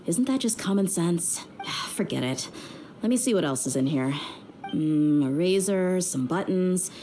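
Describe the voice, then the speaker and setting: A woman's voice: on the low side, lively intonation, a little slow, mellow, a little hiss or hum. An actress playing a tough young woman mercenary in a video game, dry and weary with tenderness underneath. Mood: wry, exasperated. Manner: dry, weary, plain-spoken. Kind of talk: video game character dialogue.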